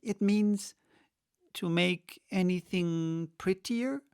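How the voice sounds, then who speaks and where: A man's voice: high voice, slow pace, darkish tone, clear, resonant tone, no echo, studio quality, voice pushed up. A man voicing a polite, curious young girl from a storybook, with a plain questioning delivery. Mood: puzzled. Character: polite, curious young girl Alice, timid yet indignant. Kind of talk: storybook character reading.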